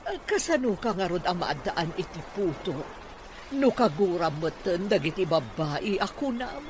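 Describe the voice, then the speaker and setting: A woman's voice: lowish voice, sing-song, a little slow, background noise. An actress playing an insistent older mother in a radio drama, with a pleading manner.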